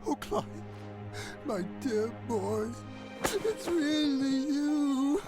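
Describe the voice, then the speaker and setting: A man voicing a jovial, theatrical older uncle in a game fan dub, boisterous and quick to laugh. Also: very high-pitched, very animated, exaggerated voice. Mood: joyful. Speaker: fan voice actor. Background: game score music.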